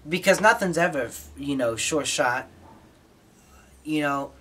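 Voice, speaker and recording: An American man giving an informal, explanatory hobbyist talk, somewhat halting, with repeated words as he explains. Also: a little high, pushed and bright, husky. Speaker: hobbyist presenter.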